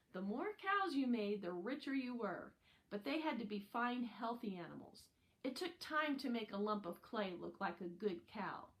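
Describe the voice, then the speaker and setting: Higher-voiced woman reading a story. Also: fairly bright tone, poor audio. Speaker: audiobook reader. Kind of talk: scripted narration of a children's novel.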